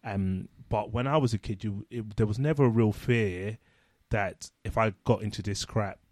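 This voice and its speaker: A man co-hosting a casual chat, a social worker speaking in a reflective, measured way. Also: lowish voice, darkish tone, no echo, studio quality. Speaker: social worker, podcast co-host.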